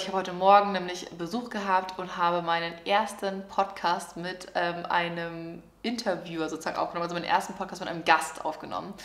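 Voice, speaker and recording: A woman in her twenties from northern Germany, chatting excitedly with many fillers in a casual vlog. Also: lowish voice, roomy sound. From Germany, Lower Saxony near Hamburg. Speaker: vlogger. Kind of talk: casual life update vlog. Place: apartment room.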